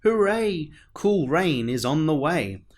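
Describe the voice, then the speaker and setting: A man voicing a little male snail from a storybook, expressive and anxious, in a plaintive, exclamatory way. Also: fairly high pitch, slow, voice pushed up. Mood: cheering. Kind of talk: storybook character voice.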